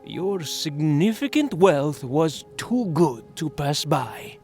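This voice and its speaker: A man's voice: a little high, sing-song, a little mellow, exaggerated voice. A man voicing a silky, mocking ageless vampire. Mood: gloating. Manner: silky, taunting, slow and theatrical. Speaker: villain. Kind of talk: audio drama acting. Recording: music score behind the voice.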